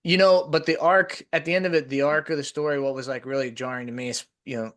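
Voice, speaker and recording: A man's voice: a little high, fairly even intonation, quick pace, fairly bright tone. Chatty man hosting a podcast. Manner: rambling, chatty, many "like" fillers. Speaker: podcast host. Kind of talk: casual conversation.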